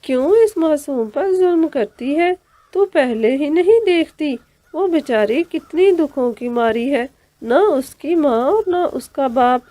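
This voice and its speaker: A woman's voice: high-pitched, animated, slow, dark tone, no echo, voice pushed up. A woman voicing a protective, outspoken older auntie bird in a cartoon, motherly and indignant. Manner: indignant, motherly, plain-spoken. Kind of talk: Hindi cartoon story voice.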